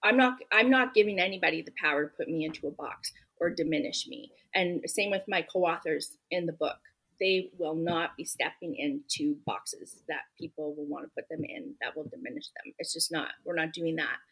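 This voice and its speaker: Husky, low-voiced woman, earnest and emphatic. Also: normal speed, a bit bright, poor audio. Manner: earnest, emphatic, reflective, many "you know" fillers. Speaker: guest author. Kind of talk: podcast interview answers.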